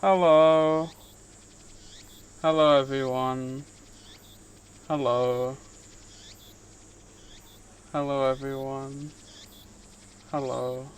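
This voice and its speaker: A man's voice: medium pitch, flat delivery, extremely slow, pauses often, a little hiss or hum. A man live streaming, reading visual novel narration aloud and chatting casually with viewers in between. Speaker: streamer, VTuber host.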